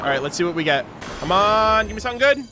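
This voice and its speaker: A man streaming a video game, excitable, swearing and yelling during fights. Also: high-pitched, fast talker, noisy background. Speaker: gaming streamer. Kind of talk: live game stream commentary. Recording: voice over loud game music.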